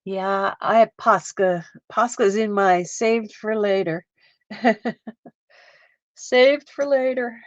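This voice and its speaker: Slow-talking woman chatting while crafting. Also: medium pitch, dry recording, dull audio. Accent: Canadian. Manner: chatty, giggly, talks to viewers while crafting. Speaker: live-stream art host. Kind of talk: casual craft live-stream chat.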